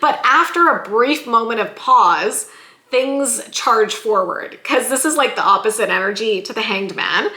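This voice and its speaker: A woman giving a weekly tarot love reading in a chatty, lively way, her voice going a little hoarse. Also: on the high side, a little slow, roomy sound. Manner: chatty, lively, says "like" and "you guys" often. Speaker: tarot reader.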